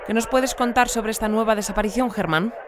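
A woman's voice: a little high, a crowd in the background, natural voice. Formal actress as a woman radio news presenter. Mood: formal, matter-of-fact. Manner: formal, measured, articulate. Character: composed woman news presenter of a sci-fi community bulletin. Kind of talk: scripted radio news bulletin, interviewing.

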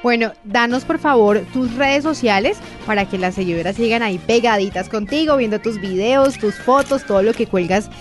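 A woman's voice: a little high, normal speed, few pauses, faint music behind. A woman with a Colombian accent hosting a friendly, informal interview in a chatty conversational way. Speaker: interviewer, host.

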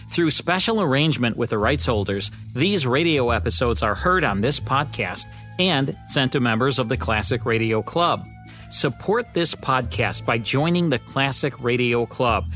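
Male host, mid-pitched, scripted announcing and promo read, light music bed. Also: a bit bright. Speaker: podcast host. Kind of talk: scripted hosting and club promotion.

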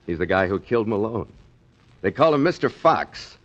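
Casting: needling, slangy waterfront schemer, low and very animated, unruffled, 1940s radio drama sound. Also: male voice, normal speed. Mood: cocky, sly. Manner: slangy tough-guy talk, needling and unruffled. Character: sly, cocky waterfront schemer, a sailor angling to join a ship. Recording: muffled old 1940s radio recording.

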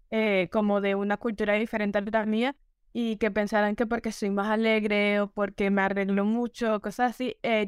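A woman's voice: medium pitch, clear, resonant tone, dry recording, studio quality. A teenage girl from Venezuela answering in an interview, hesitant and searching for words. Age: teens. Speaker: guest.

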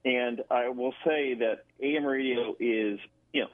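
A man speaking as an interview guest over a phone, explaining in a halting way and repeating words. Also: medium pitch, steady tone, no echo. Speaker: guest, government affairs director. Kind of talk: explanatory radio interview talk. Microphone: phone call. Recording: narrow tinny telephone line.

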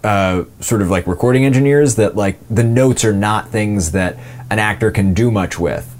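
A young man talks through interview answers in a relaxed, meandering way, circling back with fillers and false starts. His voice sits very low. He is an actor and co-producer describing his work. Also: normal speed, slight background noise. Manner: rambling, many fillers and restarts.

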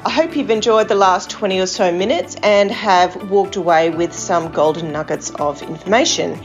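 A woman's voice: fairly low pitch, moderate pace, muffled recording, soft background music. A woman hosting a podcast interview, talking in a casual, enthusiastic way. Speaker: podcast host. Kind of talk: interview conversation.

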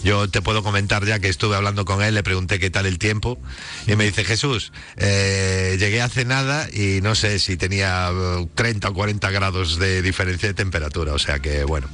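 A man from Spain co-hosting a radio motoring show, chatting warmly with many fillers and repeated words. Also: sits low, steady tone, normal speed. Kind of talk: radio talk show chat.

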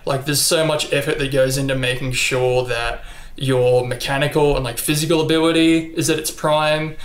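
A young Australian man talking casually in an interview, relaxed and chatty with frequent ums and likes. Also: medium pitch, moderate pace. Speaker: interview guest, athlete. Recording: echoey room.

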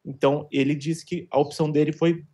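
A man giving a measured news roundup as a columnist on a talk show. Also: fairly high pitch, flat delivery, warm tone. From Brazil.

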